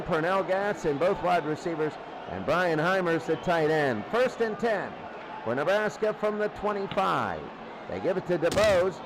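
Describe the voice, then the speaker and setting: A man's voice: high-pitched, noisy background. A man doing live radio play-by-play of American football, repeating down and distance in short bursts. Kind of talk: live sports radio commentary.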